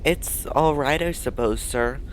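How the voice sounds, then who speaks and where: An actor playing a timid, deferential young research assistant in an audio drama, hesitant and politely inquisitive. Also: male voice, mid-range voice, a little slow, a little hiss or hum, natural voice, poor audio.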